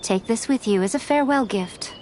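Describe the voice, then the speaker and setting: Woman acting as teasing fox shrine maiden, high voice, coy and unhurried, game dialogue, birdsong and ambient noise behind. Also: darkish tone, close to an everyday voice. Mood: calm, knowing.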